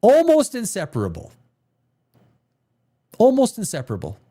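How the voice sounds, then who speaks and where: A man's voice: high voice, wildly swooping pitch, moderate pace, warm tone. A man hosting a livestream chat, blunt and profane, hammering his points with repetition. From Canada. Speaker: livestream host.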